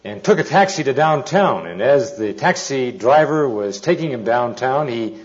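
Guy preaching informally, mid-pitched voice, deliberate storyteller w pauses, kinda dull lo-fi recording. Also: expressive. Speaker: preacher, Bible teacher. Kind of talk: informal sermon with anecdotes. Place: speaking live to an audience.

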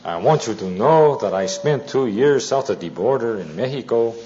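A man giving an informal sermon with anecdotes, telling them deliberately with pauses and dry humour. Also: medium pitch, lively intonation, mellow, a little hiss or hum. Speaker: preacher, Bible teacher. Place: speaking live to an audience. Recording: dull, muffled lo-fi recording.

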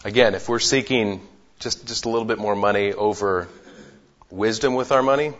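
A man's voice: on the low side, normal speed, a bit bright. A man leading a group Bible study in a casual way, often repeating words as he gathers his thoughts. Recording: dull lo-fi sound.

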